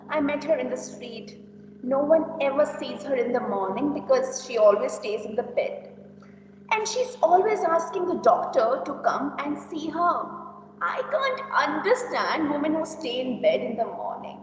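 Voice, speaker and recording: A woman's voice: high voice, moderate pace. A woman playing a tactless, gossipy and judgmental young woman in an amateur classroom play. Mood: gossipy, scornful. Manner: exaggerated, animated, giggly reactions. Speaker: teacher acting in a classroom reader's theater. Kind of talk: amateur reader's theater performance. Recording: dull, echoey hall from far off, hum.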